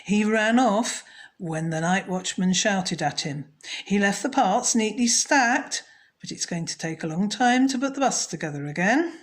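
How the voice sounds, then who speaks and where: A woman's voice: mid-range voice, expressive, a little slow, natural voice. A woman voicing a polite but stern policeman in a children's storybook, speaking in a formal, official manner.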